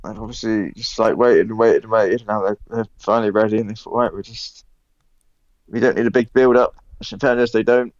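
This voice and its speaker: Wry low-voiced man, lo-fi mic. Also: steady tone, fairly quick, darkish tone, dry recording. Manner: wry, grumbling opinions. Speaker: podcast co-host. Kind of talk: casual gaming podcast chat. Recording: dull lo-fi mic, dry.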